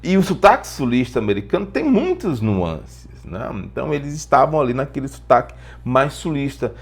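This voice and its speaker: A man's voice: medium pitch, sing-song, moderate pace, gravelly. A man with a Brazilian accent giving an informal lesson as an English teacher, explaining through personal stories, repeating phrases for emphasis and posing rhetorical questions.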